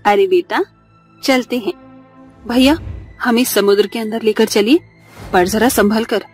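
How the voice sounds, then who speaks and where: A woman's voice: a little high, a little slow, husky. A young cartoon mother talks in a plain, middle-range voice. She sounds anxious and careful, and there is light music behind her. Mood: gentle. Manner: anxious, pleading. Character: worried young mother on a beach trip.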